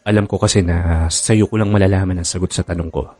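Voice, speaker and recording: A man's voice: low voice, steady tone, fast, dark tone, no room sound. A man with a Filipino accent playing an earnest, hurt young boyfriend in a radio drama. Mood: subdued. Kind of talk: radio drama acting with first-person narration. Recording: music bed under the voice.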